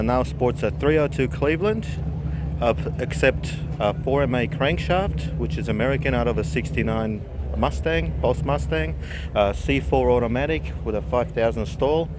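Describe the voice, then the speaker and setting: A man's voice: mid-range voice, noisy background. A man from Slovakia with a Slovak accent, a car owner describing his build in a plain, matter-of-fact way at an outdoor show.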